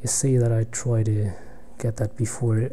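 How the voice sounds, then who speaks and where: Soft-spoken man commentating chess. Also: lowish voice, flat delivery, slight background noise. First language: German. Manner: thinking aloud, halting, drops to near-whisper while calculating. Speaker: chess player commentating own game. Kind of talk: live commentary while playing online blitz chess.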